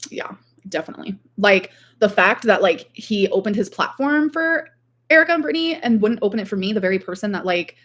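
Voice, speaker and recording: Woman livestreamer rambling casually to her viewers, says like a lot, medium pitch. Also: lively intonation, a little fast, fairly bright tone. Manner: rambling, frequent "like". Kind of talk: casual livestream chat, reading out viewer comments.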